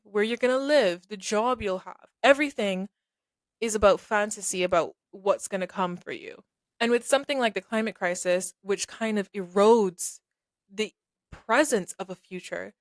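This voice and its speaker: A woman's voice: mid-range voice, dry recording, studio quality. A young woman from Barbados hosting a podcast, talking earnestly and conversationally, thinking aloud with small restarts. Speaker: podcast host. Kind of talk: reflective solo podcast talk.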